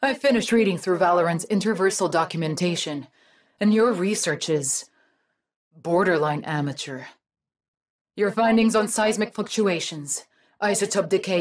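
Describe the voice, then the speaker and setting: An actress playing a haughty, disdainful scientist in a video game, measured, precise and condescending. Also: female voice, on the low side, natural voice. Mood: cold disdain. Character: haughty, disdainful female scientist, coldly superior.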